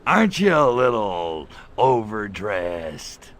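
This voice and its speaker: A man voicing an irritable, lecherous little alien invader in a video game, gravelly, with a sarcastic, slangy tough-guy delivery. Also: on the low side, sing-song, slow, a bit bright, a little hiss or hum, heavily acted. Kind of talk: video game cutscene acting.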